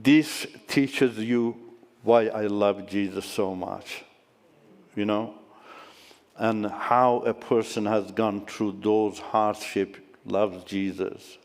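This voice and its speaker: A middle-aged man tells his testimony slowly and earnestly to a conference crowd. His voice is smooth and resonant. It rings out through a large hall with long reverb. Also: fairly low pitch. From Iran. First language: Turkish. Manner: earnest storytelling with restarts, excited in tense moments. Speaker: conference speaker. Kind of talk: personal testimony talk.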